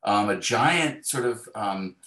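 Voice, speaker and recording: Low, echoey man in casual conversation. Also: animated, pushed and bright. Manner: halting, circling phrases with many hedges. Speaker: guest, novelist. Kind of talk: casual interview chat. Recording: roomy, echoey sound.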